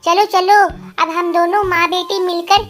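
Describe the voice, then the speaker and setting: Very high-pitched chirpy little cartoon bird. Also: female voice, little pitch movement, unhurried, a bit bright, soft background music. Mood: cheerful, excited. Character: chirpy female bird mother and young daughter, cartoon. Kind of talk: Hindi children's cartoon voice acting.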